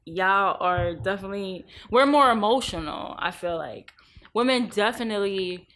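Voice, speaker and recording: A woman's voice: medium pitch, normal speed, fairly bright tone. A young woman with a New York accent, a guest on a podcast, talking frankly in slangy casual chat and laughing often. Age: young adult.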